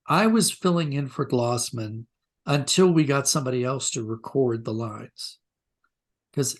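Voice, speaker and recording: An older man with an American accent telling anecdotes conversationally as an interview guest, reflective and self-deprecating. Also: medium pitch.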